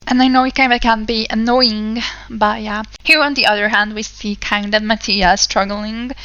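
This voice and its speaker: A woman's voice: a little high, steady tone, normal speed, sharp tone, clear, resonant tone, muffled recording. A young woman who is not a native English speaker, casually chatting through gameplay commentary with lots of fillers. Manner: chatty, rambling, many fillers. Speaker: let's play host.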